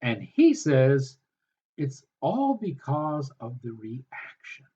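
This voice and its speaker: A man reviewing a film in a sardonic, halting way, with many fillers. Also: medium pitch, huge pitch swings, mellow, slightly rough, lo-fi sound. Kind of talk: casual film review commentary.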